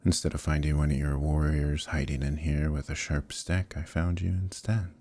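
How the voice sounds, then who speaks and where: A man playing an evil conquering knight in an ASMR roleplay, speaking in a gravelly, husky, measured way, calm and menacing yet quietly coaxing. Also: very deep voice, no echo. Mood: cold, menacing. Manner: measured, deliberate, quietly persuasive, pausing often. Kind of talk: ASMR roleplay, slow intimate dramatic monologue.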